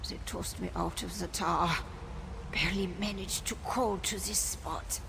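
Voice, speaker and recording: An actress playing a wounded werewolf survivor in a video game, weak, halting and earnest as she struggles to speak. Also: lowish voice, fairly even intonation, vocal fry. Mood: pained, pleading. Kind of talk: video game character dialogue. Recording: noisy outdoor game ambience behind.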